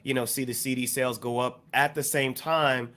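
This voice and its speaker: A man with an American accent co-hosting a hip hop podcast, explaining points in a measured way with plenty of "you know". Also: mid-range voice, steady tone, bright, forceful tone. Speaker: podcast co-host.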